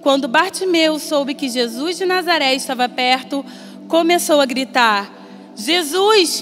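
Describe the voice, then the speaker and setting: Woman preacher, brazilian portuguese accent, high voice, earnest sermon, long reverb, soft music behind. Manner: earnest, measured, rising emphasis on quoted voices. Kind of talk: sermon with scripture reading.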